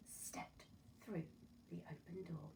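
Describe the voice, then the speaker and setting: A woman reading a children's story aloud in an expressive, dramatic and deliberate narrating style. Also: fairly low pitch, vocal fry, in a whisper. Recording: voice far from mic, noisy room.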